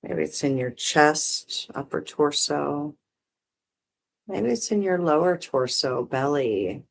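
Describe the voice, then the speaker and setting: A meditation teacher guiding breath and visualization in short, gently permissive phrases with long pauses. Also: medium pitch, lively intonation, creaky, a little hiss or hum. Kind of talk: guided meditation, unhurried instruction.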